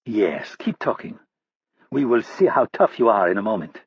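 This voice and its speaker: A man voicing a menacing militia leader who taunts his captive with slow threats, in an audiobook. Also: lowish voice, big pitch swings, breathy edge, no echo, muffled recording, full character voice. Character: menacing Palestinian militia leader, cruel and mocking.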